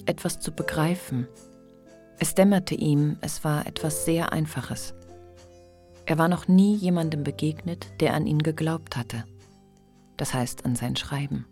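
A woman's voice: sits low, fairly even intonation, a little slow, darkish tone, soft background music. A woman reading scripted literary narration as the narrator of a radio play.

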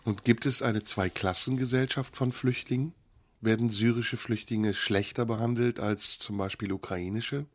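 A man interviewing a guest for a radio show, asking calm, direct questions and offering warm praise. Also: mid-range voice, moderate pace, no room sound.

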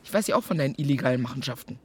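A woman's voice: extremely low pitch, expressive, racing, a little mellow, breathy edge, exaggerated voice. An actress playing a pushy, determined village woman in a radio play, urgent and probing. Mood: confrontational.

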